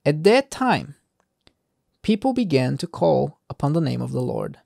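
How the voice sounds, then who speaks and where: A man's voice: fairly high pitch, sing-song, a little slow, vocal fry, darkish tone, dry recording, studio quality. A man hosting an informal Bible reading, commenting off the cuff in a conversational, digressing way and putting rhetorical questions to the listener.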